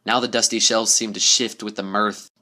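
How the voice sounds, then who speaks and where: A man's voice: lowish voice, level tone, moderate pace, pushed and bright. A man giving a dramatic scripted narration of a spooky story. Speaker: story reader.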